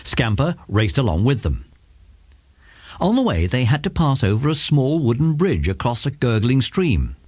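A man's voice: medium pitch, sing-song, moderate pace, a little mellow, slightly rough, no echo. A man reading scripted narration for a children's audiobook drama.

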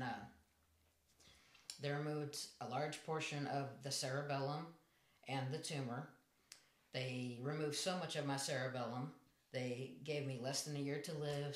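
A man in his forties with a high voice, calmly telling his life story as an interview guest in a small room. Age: 40s. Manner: matter-of-fact, plain storytelling. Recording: echoey room.

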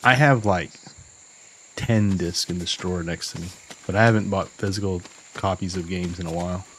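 Relaxed low-voiced streamer guy. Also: moderate pace, slight background noise, rough recording. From USA. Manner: relaxed, chatty, talks to chat. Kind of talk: casual gameplay commentary.

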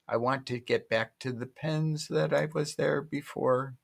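A man's voice: mid-range voice, steady tone, measured pace, creaky. A middle-aged man casually walking viewers through an online auction site at his home desk, rambling and correcting himself as he goes. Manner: rambling, self-correcting, chatty. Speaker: online shopping vlogger. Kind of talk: casual screen-share walkthrough.

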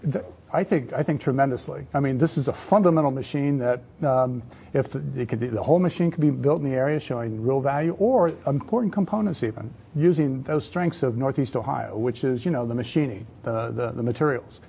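A man in his fifties speaking as a guest in a studio panel discussion, halting and repeating words as he explains. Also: medium pitch, warm tone. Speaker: panel guest, entrepreneurship adviser. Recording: clear background noise.

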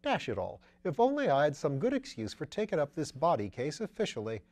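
A man voicing a breezy, witty upper-class English gentleman detective in a storybook, musing aloud in slangy exclamations. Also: fairly high pitch, wildly swooping pitch, brisk, voice pushed up. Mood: animated, puzzled.

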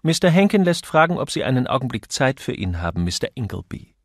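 A man voices a dutiful female secretary in an audiobook. The voice is lifted in pitch, polite and matter-of-fact, recorded very dry. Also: very animated, fast talker, no room sound.

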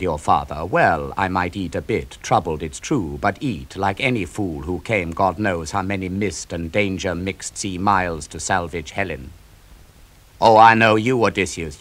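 A man's voice: low-pitched, expressive, normal speed, a bit bright. A man reading a grieving, bitter warrior prince. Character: grieving young male warrior prince, proud.

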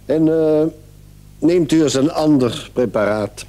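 An actor playing a brisk, authoritative doctor who is patronising and reassuring, in radio drama style. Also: male voice, on the high side, mellow. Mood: brisk, instructive. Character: brisk, paternal male doctor, dismissive yet reassuring.

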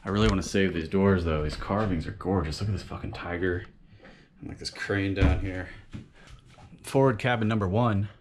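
A man in his thirties vlogging casually from inside a damaged sailboat cabin, swearing freely and filling pauses with ums. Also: low voice, darkish tone, slight background noise. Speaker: sailing vlogger. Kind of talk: casual vlog narration. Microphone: handheld action camera close up.

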